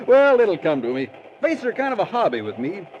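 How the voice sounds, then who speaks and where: High-voiced, brash traveling salesman. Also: wildly swooping pitch, brisk, darkish tone, slight background noise. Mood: boisterous, nosy. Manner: folksy, boisterous, over-familiar, laughs often. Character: brash, chummy, talkative traveling plumbing salesman. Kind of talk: 1940s radio acting. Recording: dull old radio recording, crowd bustle behind.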